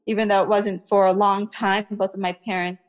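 A woman's voice: mid-range voice, level tone, brisk, dull audio. A woman raised in America by Iraqi parents, explaining skin care in a chatty interview and often checking in with "okay?" and "you know". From Iraqi family, raised in America. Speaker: skincare expert guest.

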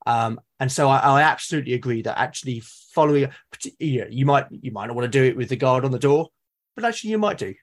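A British man chatting as a podcast guest, thoughtful and halting as he builds his points. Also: medium pitch, expressive. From Britain. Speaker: podcast guest, game master and podcast creator. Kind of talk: casual interview conversation.

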